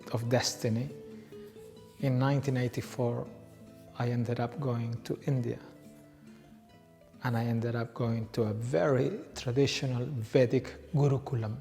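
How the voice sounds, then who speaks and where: A man in his forties, originally from Peru, a yoga teacher telling his story in a slow, reflective way with frequent pauses. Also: medium pitch, steady tone, creaky. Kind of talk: personal storytelling. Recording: reverberant room with soft background music.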